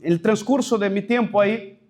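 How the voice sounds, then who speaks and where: High-voiced Brazilian-accented pastor telling his story. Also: a little mellow. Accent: Brazilian Portuguese. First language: Portuguese. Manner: storytelling, halting with restarts and mixed-in Portuguese words. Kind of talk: testimony at a leadership workshop.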